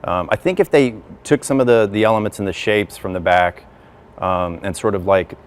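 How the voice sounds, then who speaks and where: A man's voice: on the low side, normal speed, smooth. A man on a judging panel, giving a hesitant critique full of ums. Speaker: judge, visual director.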